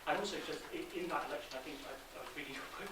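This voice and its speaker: A man giving an informal, halting academic answer at a conference Q&A, full of asides. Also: a little high. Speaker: academic panel speaker. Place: conference room, indoors. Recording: very echoey noisy room, distant mic.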